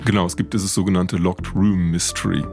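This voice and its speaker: A man speaking as an expert guest, explaining things in a measured, academic way. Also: deep voice, little pitch movement, vocal fry, over music. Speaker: expert guest, literature professor. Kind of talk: explanatory interview talk.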